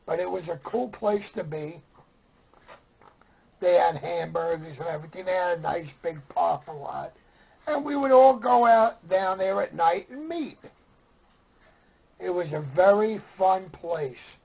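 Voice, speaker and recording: A middle-aged man with a Brooklyn New York accent and a husky, gravelly voice, casually reminiscing in a plainspoken, meandering way. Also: sits high, expressive, a little slow, darkish tone, lo-fi sound. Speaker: storyteller sharing memories of CB radio.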